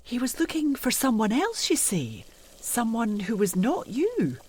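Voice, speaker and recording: Casting: a timid, deferential period housekeeper, halting and slow, for gothic audio drama. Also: female voice, fairly high pitch, wildly swooping pitch, a little mellow, faint music behind. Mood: alarmed. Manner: hesitant, halting, old-fashioned servant's speech. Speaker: actor in an audio play.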